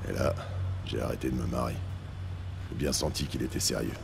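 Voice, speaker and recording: An actor playing a nervous, crooked scrapyard owner in his forties in a crime audio drama, talking in a halting, defensive, slangy way. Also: very deep voice, fairly even intonation, vocal fry, background noise, exaggerated voice. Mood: uneasy, confessing.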